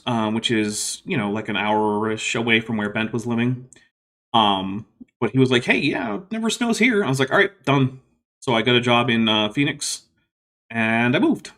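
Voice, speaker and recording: Guy on a livestream answering viewer questions, soothing voice, thinks out loud, lots of ums. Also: medium pitch. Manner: rambling and reflective, many fillers and restarts. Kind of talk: casual livestream Q&A.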